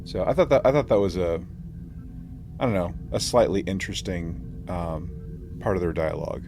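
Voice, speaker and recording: A man co-hosting a casual podcast discussion, explaining things in a halting, tentative way. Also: low-pitched, noisy background. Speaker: podcast co-host.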